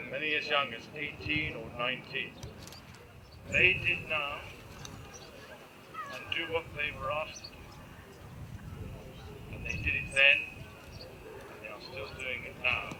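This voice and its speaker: A man's voice: on the high side, measured pace, pauses often. A man delivering a formal commemorative speech at an outdoor ceremony. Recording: very noisy outdoor event recording.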